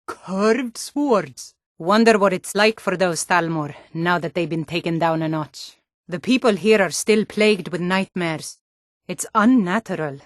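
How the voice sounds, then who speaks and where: A woman's voice: lowish voice, no echo, studio quality, lightly acted. A woman voice actor playing a tough, wary female Nord city guard in a fantasy video game, with blunt, colloquial delivery. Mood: matter-of-fact. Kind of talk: scripted fantasy game dialogue in short standalone lines.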